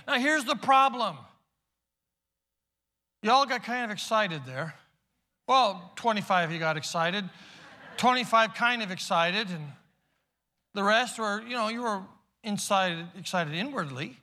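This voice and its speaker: Male preacher, very high and ringing tone, emphatic delivery that drops to hushed asides, church hall reverb. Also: expressive, a little slow, pauses often. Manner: emphatic, swings between loud calls and hushed asides. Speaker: pastor. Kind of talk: sermon. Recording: long reverb of a large hall.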